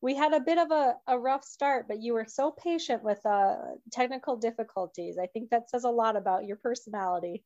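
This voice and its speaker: A woman's voice: a little high, a little fast, few pauses, darkish tone, no echo. A woman hosting a parenting podcast, asking questions in a friendly way with small restarts. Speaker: podcast host. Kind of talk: interview host. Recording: dry, dull lo-fi sound.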